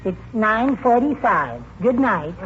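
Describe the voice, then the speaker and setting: A meek, henpecked little husband in an old radio comedy. He speaks high, with mild, deliberate delivery, on a muffled and noisy broadcast recording. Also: sing-song, heavily acted. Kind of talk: 1940s radio comedy acting.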